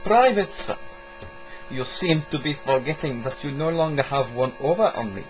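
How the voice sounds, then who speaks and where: A man's voice: fairly high pitch, animated, moderate pace, soft background music, natural voice. A man playing a stern, menacing army sergeant with a pointed delivery in an amateur audio drama. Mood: coldly threatening. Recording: dull lo-fi homemade sound.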